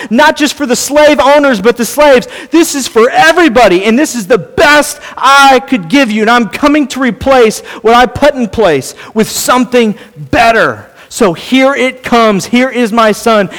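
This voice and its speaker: A male pastor preaching a sermon, animated and playful, acting out little voices to make his point. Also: high voice. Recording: long hall reverb.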